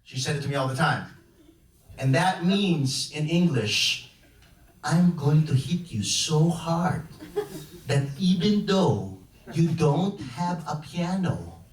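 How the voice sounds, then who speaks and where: A young male stand-up comedian with a fairly high voice rambles loosely through his set, stumbling and restarting as he goes. He is heard from across an echoey comedy club, picked up by a handheld stage mic. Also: expressive, slow. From Chicago. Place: comedy club stage before a laughing audience. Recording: echoey club room from far off.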